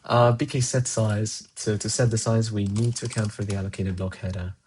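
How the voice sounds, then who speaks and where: A man's voice: lowish voice, little pitch movement, a little fast, darkish tone, a little hiss or hum. Man thinking aloud while coding. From Colombia. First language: Spanish. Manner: muses aloud, answers chat between tasks. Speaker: programmer streaming live coding.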